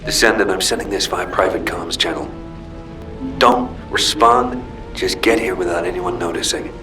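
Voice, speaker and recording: A man's voice: sits low, normal speed, music in the background, natural voice. A man playing a determined, battle-hardened soldier in a video game, speaking in a terse, resolute, commanding way. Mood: urgent, secretive. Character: determined, battle-hardened male soldier leading a covert mission.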